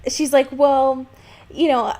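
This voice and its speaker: A woman co-hosting a podcast, chatting casually about a book and retelling it in an amused, halting way with acted-out quotes. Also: sits high, level tone, darkish tone. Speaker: podcast co-host. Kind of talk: casual book discussion chat.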